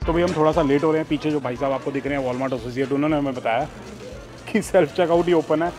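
A man's voice: on the high side, fast, music in the background. A man in his thirties chatting casually to camera while shopping in a large supermarket, reading out prices, filmed on a handheld phone. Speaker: vlogger. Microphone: phone held at arm's length.

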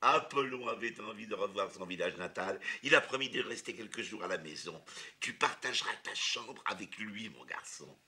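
A man voicing a plain-spoken cartoon rooster father with a husky voice. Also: on the low side, lively intonation, normal speed, pushed and bright, full character voice. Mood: kindly. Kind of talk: cartoon dubbing.

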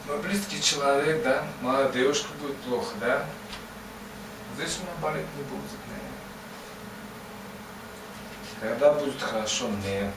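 A man's voice: mid-range voice, lightly acted. A man voicing old, bearded Confucius as a folksy sage, rambling and asking "right?" after every phrase. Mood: earnest, wise. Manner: rambling, repeats "да?" and "вот" constantly. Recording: roomy, distant mic, ambient noise.

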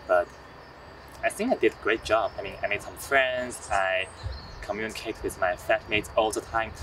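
A man's voice: fairly low pitch, bright, forceful tone. Earnest young man from China. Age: 20s. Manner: earnest, with some restarts. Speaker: master's student. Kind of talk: documentary interview. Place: outdoors on a bench among trees. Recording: distant mic, light outdoor noise.

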